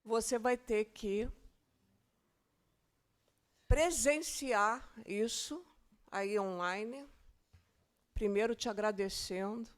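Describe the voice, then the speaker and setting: Slow-paced Brazilian woman hosting. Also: on the high side. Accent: Brazilian Portuguese. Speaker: host of the gathering. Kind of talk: conversational closing remarks.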